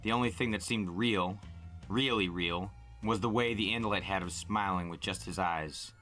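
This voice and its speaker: A man with a fairly low, forceful and bright voice reads a story aloud in the first person. He delivers it as audiobook-style narration, with music playing behind him. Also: normal speed. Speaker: host reading a novel aloud.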